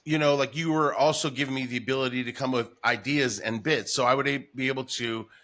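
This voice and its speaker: A man's voice: mid-range voice, steady tone, a little fast, pushed and bright. An American man hosting a casual interview, talking in an enthusiastic, rambling storyteller's way. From Denver, United States. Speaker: podcast host, former morning radio voice talent.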